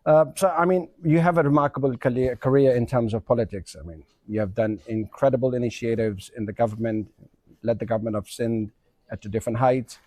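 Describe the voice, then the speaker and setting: A man's voice: medium pitch, steady tone, a little fast, dark tone, no echo. A man with a Pakistani accent hosting a semi-formal interview, speaking politely and deferentially to his guest. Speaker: podcast host interviewing a guest.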